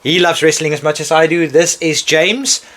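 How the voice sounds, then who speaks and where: A man's voice: fairly high pitch, normal speed, a bit bright. A young man hosting a casual chat, talking in a playful, chatty way and often breaking off mid-sentence. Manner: chatty, playful, self-interrupting. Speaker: host.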